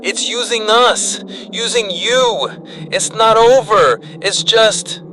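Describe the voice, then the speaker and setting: A man's voice: high-pitched, slow, sharp tone, a little hiss or hum, pitched above the performer's own voice, full character voice, poor audio. A man voicing a desperate, furious woman survivor giving a final warning in urgent, defiant bursts, in an audio horror drama. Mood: desperate fury.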